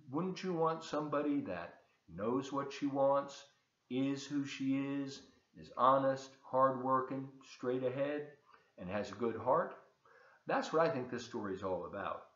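A man in his seventies reading a children's storybook aloud expressively, with explanatory asides to his listeners. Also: mid-range voice. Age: 70s. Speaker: volunteer storybook reader. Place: large open kitchen and living room, indoors. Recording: echoey room, slightly dull webcam sound.

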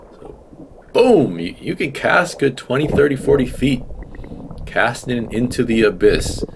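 A man's voice: fairly low pitch, noisy background. A man in his thirties narrating his own fishing video with enthusiasm, explaining as he goes. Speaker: fishing vlogger. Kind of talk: casual voice-over narration.

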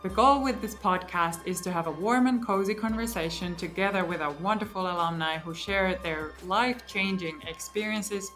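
A woman hosting an interview podcast, reading a scripted welcome and then asking questions in a measured way with some fillers. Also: on the low side, a bit bright. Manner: measured, asks with fillers and restarts. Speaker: podcast host.

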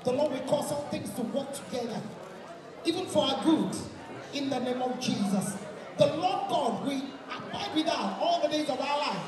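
A woman's voice: high-pitched, expressive, normal speed, recorded from a distance, a crowd in the background. A woman with a Nigerian accent hosting a live wedding ceremony in a commanding, theatrical, exhortative manner. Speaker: master of ceremonies at a traditional wedding. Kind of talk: live event hosting with prayers and chants. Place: large indoor event hall. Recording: echoey PA in crowded hall, music behind.

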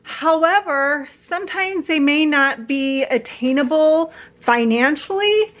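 A woman's voice: high voice, slow, fairly bright tone. A woman in her forties chatting casually to a phone held at arm's length in her living room, friendly and rambling with fillers. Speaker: home decor vlogger. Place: living room at home, indoors. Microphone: phone camera at arm's length.

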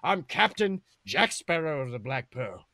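A man's voice: on the high side, sing-song, unhurried, bright, forceful tone, dry recording, heavily acted. A man voicing a roguish pirate captain who is polite but wary, hesitant and proud, in a comedic fan fiction reading. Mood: indignant. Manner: hesitant, placating, proud when provoked.

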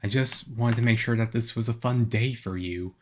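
A man playing a shy, nervous teenage-looking vampire boyfriend in an ASMR roleplay, halting and stammering awkwardly. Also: mid-range voice, a little mellow, a little hiss or hum, natural voice. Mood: earnest, nervous. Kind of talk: ASMR audio roleplay, one-sided conversation.

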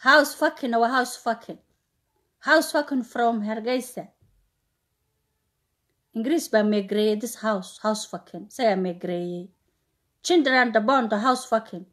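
A woman's voice: on the high side, measured pace, pauses often, fairly bright tone. A woman in her thirties from Somalia with a Somali accent, giving an informal, taunting, repetitive rant to camera. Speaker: vlogger. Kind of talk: informal mocking rant to camera, mixing Somali and broken English. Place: small plain room indoors.

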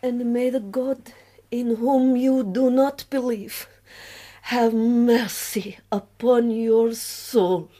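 An actress playing a proud, resolute, tragic exiled wife in a radio drama, speaking in a solemn, deliberate, dramatic way. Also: female voice, a little high, steady tone, warm tone. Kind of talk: BBC radio drama acting.

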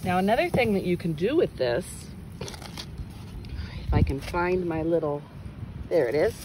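Expressive, slow-paced woman teacher in noisy wind. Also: fairly low pitch. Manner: exclaiming, gasping, coaxing children with questions. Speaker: teacher of young children. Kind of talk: hands-on demonstration for children and parents. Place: outdoors in wind and sun. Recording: windy outdoor phone recording with noise.

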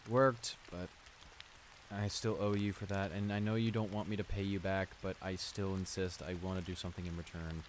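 Man acting shy, stammering low-voiced school bully, rain behind. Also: moderate pace, slight background noise, close to an everyday voice. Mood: sheepish, apologetic. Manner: stammering, hesitant, apologetic, easily embarrassed. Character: shy, flustered male school bully confessing a crush. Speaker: audio roleplay voice actor. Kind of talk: intimate improvised audio roleplay acting. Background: steady rain.

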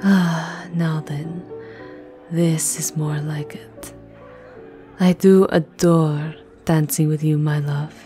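A woman playing an elegant vampire countess, soft, intimate and courtly, in an ASMR roleplay. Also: deep voice, fairly even intonation, takes it slowly, faint music behind. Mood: tender, flirtatious. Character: elegant vampire countess, tender yet formidable lover.

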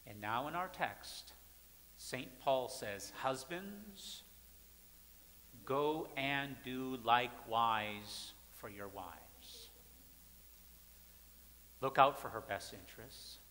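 A man in his thirties, a pastor, preaching at a pulpit in a large church sanctuary with emphatic, repeated phrases that swing between loud and soft. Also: medium pitch, expressive, drawn-out words, pauses often. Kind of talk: sermon. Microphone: pulpit mic, far camera. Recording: church hall reverb.

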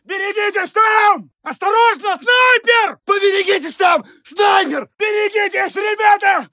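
Video game WWI Russian soldier: alarmed, very high-pitched urgent shouting, forceful edge. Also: male voice, unhurried, no echo, studio quality, full character voice. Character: alarmed Russian First World War soldier warning comrades. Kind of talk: shouted battlefield alert barks.

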